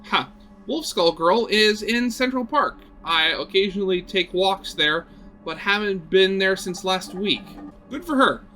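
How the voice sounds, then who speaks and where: A man reading a visual novel aloud as expressive narration, dramatic, with brief conversational asides. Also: high voice, measured pace. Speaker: video game let's player reading a visual novel aloud.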